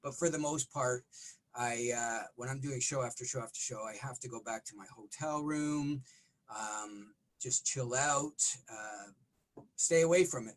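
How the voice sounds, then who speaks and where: A middle-aged man, a rock vocalist, talking in a casual interview with a smoky, raspy voice and lots of restarts. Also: mid-range voice, measured pace, a little hiss or hum, rough recording. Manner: rambling, frequent restarts and fillers.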